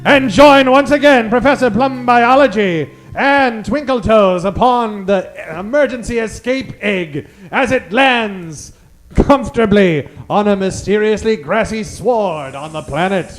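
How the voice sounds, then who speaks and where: A man's voice: sits high, unhurried. A man announcing and narrating in a 1940s radio serial style, theatrical and grandly suspenseful.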